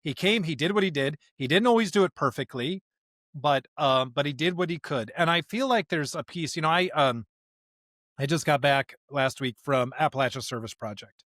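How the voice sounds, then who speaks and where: A man's voice: a little high, animated, normal speed, a bit bright, no echo, clean studio sound. A man co-hosting a religious podcast in an earnest, emphatic conversational way, often checking for agreement. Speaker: podcast co-host.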